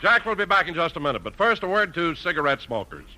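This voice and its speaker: American man, old-time radio announcer selling stuff, lively pitch, music behind. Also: quick pace, poor audio. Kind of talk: 1950s radio ad copy, scripted.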